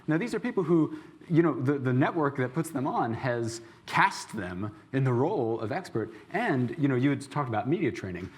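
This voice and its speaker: Fast-talking wry male panelist. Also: mid-range voice. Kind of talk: panel discussion.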